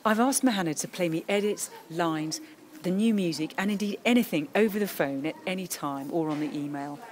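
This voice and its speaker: A woman with a British accent narrating a documentary about radio drama training in a measured, informative way. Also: on the low side, darkish tone.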